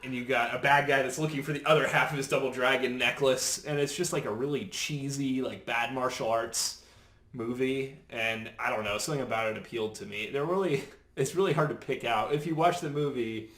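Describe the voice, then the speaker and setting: A man's voice: medium pitch, brisk, a bit bright. A man co-hosting a podcast, chatting casually about movies. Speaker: podcast co-host. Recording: roomy, echoey room recording.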